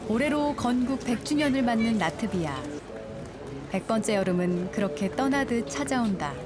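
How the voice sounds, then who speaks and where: A woman reading a calm, lyrical documentary travel narration. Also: on the high side, normal speed. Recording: studio voice-over with music.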